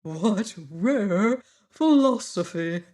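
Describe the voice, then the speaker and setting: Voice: medium pitch, lively intonation, voice pushed up. A reader doing a pompous bug professor. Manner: grand, slow and loud, with formal phrasing. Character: pompous learned male insect lecturer. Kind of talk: audiobook character voice.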